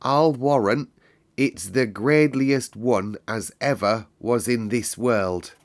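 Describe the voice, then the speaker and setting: A man's voice: medium pitch, slow, lightly acted. A man voicing a joyful, admiring young girl in a storybook, keen and exclaiming.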